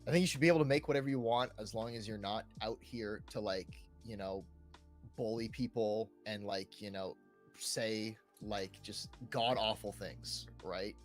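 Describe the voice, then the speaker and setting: A man's voice: on the low side, moderate pace. A man co-hosting a gaming podcast, chatting casually and loosely, often tagging phrases with checking words and fillers. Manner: informal, peppers talk with "like", "right", "you know". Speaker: podcast co-host discussing video games. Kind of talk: casual rambling chat. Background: background music.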